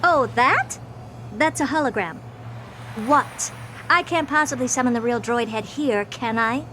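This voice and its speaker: A woman's voice: high-pitched, measured pace, sharp tone, a little hiss or hum. A woman voicing a haughty genius scientist in a video game, who talks bluntly and dismissively. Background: game music.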